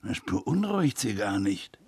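A sardonic police commissioner in a radio play. His voice is husky and full of gravel, and he presses each question with sly insinuation. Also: fairly low pitch, very animated, measured pace, a little mellow, exaggerated voice. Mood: insinuating, pressing. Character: probing, sardonic male police commissioner on a murder case. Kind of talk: German radio drama acting.

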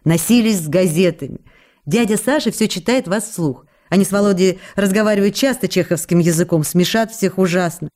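A woman's voice: medium pitch, brisk, darkish tone, close to an everyday voice. A woman in a radio play voicing a young actress who writes playful, coaxing, reproachful letters to a writer. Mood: pleading. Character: young actress writing teasing, pleading love letters. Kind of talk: radio drama letter reading.

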